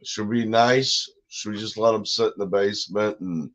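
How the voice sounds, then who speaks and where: A deep-voiced older man from Wisconsin chatting casually as a livestream co-host, joking and restarting his sentences. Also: steady tone, normal speed, a bit bright. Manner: laughs often, jokes, trails off and restarts. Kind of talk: casual chat. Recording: slightly dull sound with faint noise.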